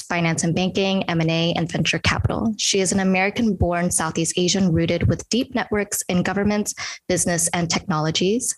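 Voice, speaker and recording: A woman's voice: lowish voice, low-quality recording. Woman host reading a formal intro. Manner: steady, formal reading of a bio. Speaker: podcast co-host.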